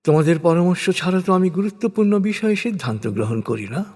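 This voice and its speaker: A man reading a scripted scripture translation in a measured, solemn, deliberate manner. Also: high-pitched, expressive, darkish tone. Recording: long hall reverb on voice.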